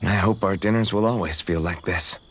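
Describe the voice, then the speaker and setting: A man playing an earnest young swordsman in a video game, gentle in manner and awkward when flustered. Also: sits low, a little fast, husky. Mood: warm, sincere. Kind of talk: video game cutscene acting.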